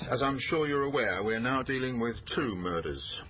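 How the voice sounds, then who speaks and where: A businesslike male detective boss, played by an actor for a radio crime drama. He speaks at middling pitch with firm authority. The sound is slightly dull with faint noise. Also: lively intonation, moderate pace, natural voice. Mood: brisk, commanding. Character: brisk male police detective boss running a murder inquiry.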